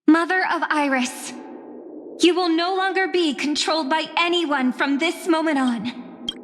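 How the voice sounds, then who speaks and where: An actress playing a solemn young stage performer, speaking formally and earnestly in a dramatic game style. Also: female voice, very high voice, unhurried, a bit bright, a little hiss or hum. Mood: solemn, tender. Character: solemn young female stage performer freeing a fallen idol. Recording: music behind the voice.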